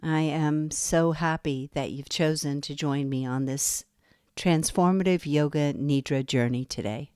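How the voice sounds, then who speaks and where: Slow, low-voiced woman guiding meditation. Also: clean tone, dry recording, studio quality. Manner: slow, soft and soothing, with long pauses. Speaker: meditation guide. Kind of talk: guided yoga nidra meditation.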